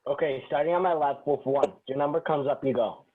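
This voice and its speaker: A man's voice: a little high, brisk, lightly acted. Man reading cool sitcom guy, tinny phone-like sound. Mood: dry. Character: cool, guarded, protective man, dryly sardonic. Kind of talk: amateur table read of a sitcom script.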